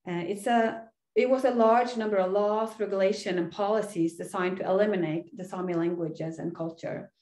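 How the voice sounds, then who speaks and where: A woman's voice: mid-range voice, steady tone, moderate pace, darkish tone. Norwegian-accented woman lecturing in an echoey room. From Norway. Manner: earnest, explanatory, frequent "uh" fillers. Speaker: university lecturer. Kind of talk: recorded online lecture over slides. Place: small indoor room.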